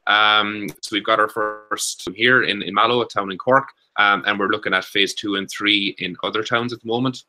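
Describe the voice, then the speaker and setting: An Irish man on a video call, voice sitting low and sounding very bright and forward. He talks haltingly, stopping and restarting often, with a flat, even tone. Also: low-quality recording. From Ireland. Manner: halting, many restarts and fillers. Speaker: panelist, co-working space founder. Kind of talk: online panel introduction.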